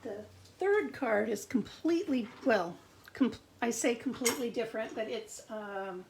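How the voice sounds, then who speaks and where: A middle-aged woman with a gravelly voice, chatting and thinking aloud through a live card-making demo at her craft desk. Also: on the high side, expressive, unhurried, darkish tone, a little hiss or hum.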